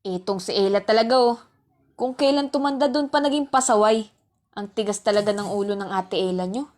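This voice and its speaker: A woman's voice: medium pitch, fairly quick, darkish tone, lightly acted. A woman acting a stern, old-fashioned Filipino mother who scolds her children in an amateur radio drama. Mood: exasperated. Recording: music bed behind dialogue.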